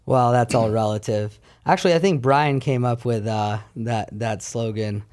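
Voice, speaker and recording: Clear-voiced man in his thirties, candid. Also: medium pitch, normal speed, a little mellow. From born in Paris, France, moved to America at twelve. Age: 30s. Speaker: podcast guest. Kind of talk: casual storytelling chat.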